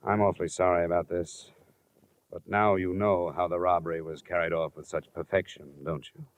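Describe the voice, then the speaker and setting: A man's voice: low-pitched, level tone, brisk. A man playing a dogged, terse insurance investigator in a 1950s radio detective drama, questioning and narrating in a clipped, matter-of-fact way. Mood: accusing, firm. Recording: muffled old radio recording with music.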